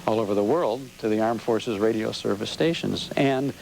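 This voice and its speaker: An American man, middle-aged or older, explaining things as an unhurried storyteller while being interviewed on television. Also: lowish voice, gravelly, darkish tone. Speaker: interview guest, old-time radio show host and collector. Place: TV studio interview. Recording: noisy 1990s local TV broadcast.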